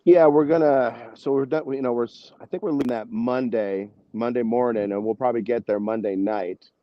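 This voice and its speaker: A man's voice: medium pitch, fairly even intonation, normal speed, highs cut off, a little hiss or hum. An American man chatting casually as an interview guest, in a rambling, informal way. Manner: rambling, informal, peppered with "you know" and "man". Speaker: band musician, interview guest.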